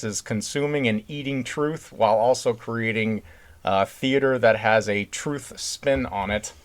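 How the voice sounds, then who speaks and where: A man with a mid-pitched voice co-hosts a podcast in loose, unscripted conversation. He talks informally and puts his views across with emphasis. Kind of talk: casual unscripted podcast chat.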